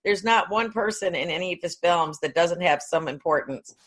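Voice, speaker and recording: A woman's voice: lowish voice, moderate pace, a bit bright. A woman co-hosting a podcast, talking in a casual, agreeable, conversational way about film.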